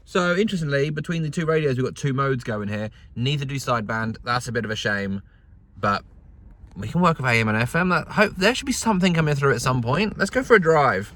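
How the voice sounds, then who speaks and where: A man's voice: mid-range voice, expressive, fairly quick, a bit bright. A British man with a mid-range voice talks casually to camera as a hobbyist host. His delivery is conversational and wry, with understated humour. He is speaking from inside a parked pickup truck. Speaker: radio hobbyist vlog host. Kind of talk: casual vlog chat to camera.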